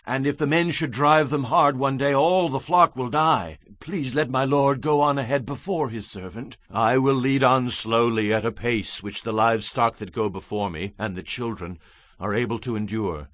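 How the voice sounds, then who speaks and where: Man playing a bright, earnest, pleading biblical patriarch. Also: medium pitch, no echo, natural voice. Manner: earnest, deferential, entreating. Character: Jacob, humble pleading patriarch addressing his brother. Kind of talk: dramatized scripture reading.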